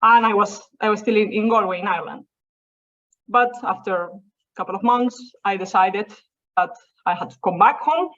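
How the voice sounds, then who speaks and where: Casting: young woman, Spanish accent, chatty storyteller presenting, mid-pitched voice, dull muffled call audio. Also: normal speed, a bit bright. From Spain. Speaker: seminar speaker. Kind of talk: academic talk over a video call. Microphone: video call.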